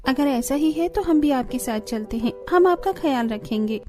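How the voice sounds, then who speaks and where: Young village daughter-in-law played by a woman, high mellow voice, earnest, anxious, caring, family cartoon. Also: lively intonation, moderate pace, faint music behind. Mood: concerned. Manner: earnest, concerned.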